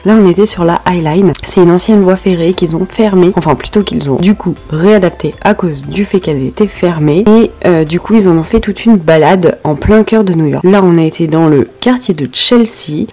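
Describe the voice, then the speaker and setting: Chatty woman vlogger, mellow voice. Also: lowish voice, normal speed, hardly any pauses, soft background music. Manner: chatty, enthusiastic, gives tips to viewers. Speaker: travel vlogger. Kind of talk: travel vlog voice-over. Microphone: basic built-in mic.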